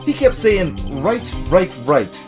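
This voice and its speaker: Man doing a bumbling, animated police detective. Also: high-pitched, takes it slowly, over music, voice pushed up, full character voice. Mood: exasperated, chatty. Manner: blunt, folksy, ends remarks with "pal". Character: bumbling, eager, friendly male police detective.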